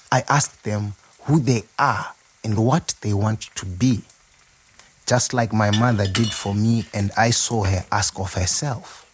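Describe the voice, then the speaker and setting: An actor playing a warm, proud, playful nurse turned chef in an expressive audio monologue. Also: male voice, medium pitch, husky. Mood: proud, tender. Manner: expressive, reflective, wryly humorous. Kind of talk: dramatic first-person monologue.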